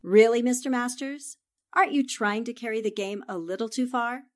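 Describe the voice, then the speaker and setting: A woman's voice: fairly high pitch, moderate pace, close to an everyday voice. A woman playing a shrewd, confident newspaper reporter with poised, theatrical delivery in a 1940s-style radio drama. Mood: cool accusation. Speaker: voice actor.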